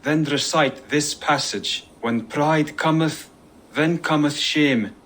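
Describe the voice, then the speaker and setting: An actor playing a scholarly advisor who speaks in a courteous, informative way. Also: male voice, fairly high pitch, slow, a bit bright.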